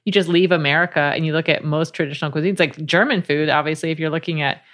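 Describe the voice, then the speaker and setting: A woman hosting a podcast interview, asking questions and chatting in a steady, conversational way. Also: deep voice, fast talker. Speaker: podcast host.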